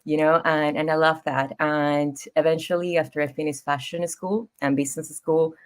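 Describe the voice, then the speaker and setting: A woman in her thirties from Ecuador with a Spanish accent, telling her story as a podcast guest in an expressive, easygoing way. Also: sits low, normal speed. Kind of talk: casual interview storytelling.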